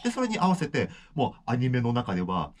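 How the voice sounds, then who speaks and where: Fast, animated man in radio chat. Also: medium pitch. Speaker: music producer, regular corner guest. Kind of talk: casual radio studio chat. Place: small radio studio. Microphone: desk studio microphone.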